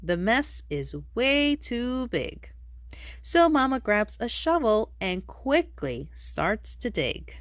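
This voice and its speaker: A woman reading a rhyming children's picture book aloud in an expressive storytelling manner. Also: a little high, slow pace, no room sound, studio quality. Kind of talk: read-aloud storybook narration in rhyme.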